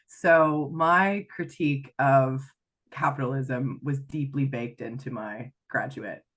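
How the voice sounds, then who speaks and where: A woman's voice: extremely low pitch, takes it slowly, fairly bright tone. A middle-aged woman with a Canadian accent touched by Irish, talking reflectively as a guest on a podcast interview, with many fillers and restarts. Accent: Canadian with some Irish influence. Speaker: guest, life coach.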